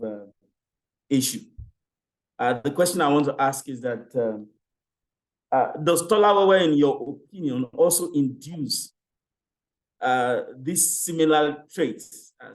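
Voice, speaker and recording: Middle-aged man, hesitant academic questioner, filler-heavy delivery, mid pitch. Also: a little mellow, rough recording. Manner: hesitant, many fillers and restarts. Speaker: panel questioner. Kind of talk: academic Q&A with a personal story.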